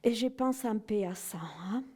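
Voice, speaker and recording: A woman giving a personal testimony in halting, non-native French, often searching for words and checking in with "non?". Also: medium pitch, warm tone, husky. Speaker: guest speaker giving a testimony. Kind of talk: slow reflective personal testimony.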